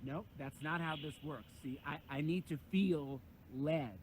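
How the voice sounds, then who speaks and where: A man playing a laid-back, eccentric area man who talks calmly and a little haltingly. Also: fairly high pitch, slight background noise, lightly acted. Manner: calm, unbothered, halting. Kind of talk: comedic sketch acting.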